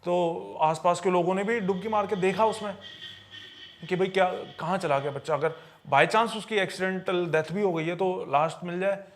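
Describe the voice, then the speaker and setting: A man with a notably high-pitched voice tells eerie supernatural stories as a podcast storyteller. He speaks conversationally, circling back to repeat and reword phrases for emphasis. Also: moderate pace, slight background noise. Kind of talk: conversational storytelling of paranormal tales.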